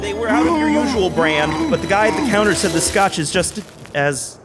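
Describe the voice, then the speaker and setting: Audio play: bewildered husband, played by a man, high and animated voice. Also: normal speed, over loud music. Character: bewildered husband arriving home.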